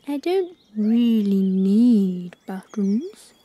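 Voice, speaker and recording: A woman voicing a kind, generous male scarecrow in a children's story, in a soft, kindly manner. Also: mid-range voice, animated, very slow.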